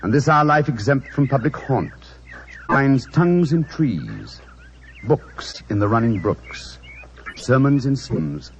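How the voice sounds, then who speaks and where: An actor playing a cultured English detective, urbane and eloquent, in theatrical 1940s radio style. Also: male voice, mid-range voice, sing-song, slow pace. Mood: lyrical, reciting. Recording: noisy, muffled 1940s radio recording.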